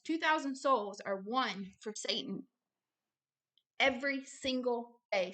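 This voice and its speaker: A woman's voice: medium pitch, bright, forceful tone. A woman hosting religious commentary as she reads aloud and explains, speaking emphatically and repeating phrases for stress. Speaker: host, self-described prophet reading a book aloud with commentary.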